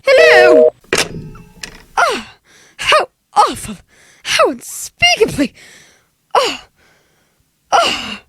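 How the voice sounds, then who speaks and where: A woman's voice: very high-pitched, huge pitch swings, drawn-out words, long pauses, a bit bright, dry recording, clean studio sound, pitched above the performer's own voice, exaggerated voice. A woman playing an anxious, demanding, frail invalid wife in a 1940s-style radio play, fretful and given to breathless exclamations. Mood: horrified, panicked.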